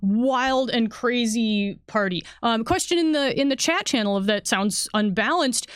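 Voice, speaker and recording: A woman's voice: a little high, unhurried, smooth, dry recording. A woman in a casual, enthusiastic livestream chat, explaining tabletop game material as one of its designers.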